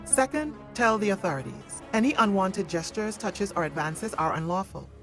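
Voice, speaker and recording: A woman performs the narrator of a radio drama. Her voice sits at a middle pitch, composed and explanatory, with soft music underneath. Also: animated, normal speed, natural voice. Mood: composed, concerned. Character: caring, composed woman narrator commenting on characters.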